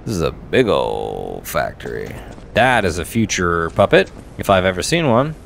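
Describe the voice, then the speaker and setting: A man's voice: low-pitched, sing-song, normal speed, vocal fry, a bit bright, slight background noise. A man giving casual let's play commentary, muttering half to himself and half to the game. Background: video game sound.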